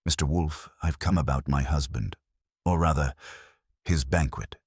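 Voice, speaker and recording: A man's voice: extremely low pitch, normal speed, darkish tone, husky, dry recording, clean studio sound, heavily acted. A man voicing an anxious, formal and composed wealthy woman in her fifties, with brittle hesitancy, in a detective audiobook. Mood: anxious, urgent.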